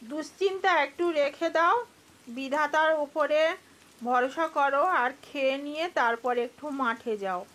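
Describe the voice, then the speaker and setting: A woman's voice: sits high, measured pace, a bit bright. A woman voicing a caring, anxious farmer's wife in a cartoon folk tale.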